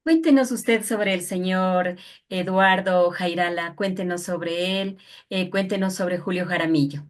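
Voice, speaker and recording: A woman's voice: fairly low pitch, fairly even intonation, unhurried. A woman, politely putting questions as an interviewer. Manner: polite, formal address.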